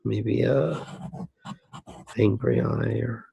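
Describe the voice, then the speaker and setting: A man giving soft-spoken ASMR commentary as he draws, musing aloud in a hesitant way with pauses. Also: on the low side, gravelly, slightly rough, dull audio. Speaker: host of an ASMR drawing channel.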